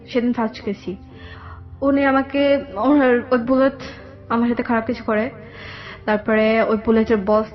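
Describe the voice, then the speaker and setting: A young woman with a Bangladeshi accent telling her personal story in a radio interview, halting and repeating herself. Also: high voice. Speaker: guest telling own life story. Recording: dull lo-fi radio audio, background music.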